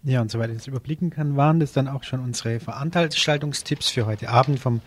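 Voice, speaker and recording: A man's voice: medium pitch, quick pace. A man presents informal local radio event tips, loosely repeating details for listeners.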